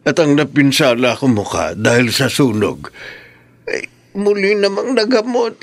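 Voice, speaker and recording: A man's voice: fairly high pitch, very animated, measured pace, no echo, full character voice. A man playing a grateful, humble elderly father in a Filipino radio drama. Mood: grateful, moved.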